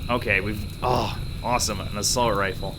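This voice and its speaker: A man's voice: fairly low pitch, steady tone, normal speed, noisy background. A man rambling casually as he comments on the video game he is playing. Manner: chatty, rambling, thinks aloud. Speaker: let's play gamer.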